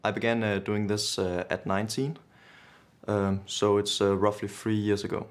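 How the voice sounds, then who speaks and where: A man's voice: on the low side, little pitch movement, moderate pace, clean tone. A man of twenty-two, speaking as an interviewee. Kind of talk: interview answers.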